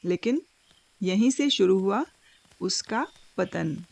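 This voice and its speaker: A woman narrating a scripted moral story for a cartoon, with dramatic, deliberate phrasing and pauses. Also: medium pitch, expressive, vocal fry, a little mellow.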